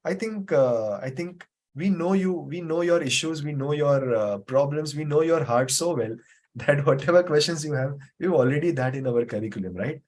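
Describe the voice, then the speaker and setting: A man's voice: a little high, lively intonation, normal speed, darkish tone. Man teaching an online memory class. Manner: patient, repetitive explaining with many fillers. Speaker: memory trainer. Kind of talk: live online class with questions. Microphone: video call.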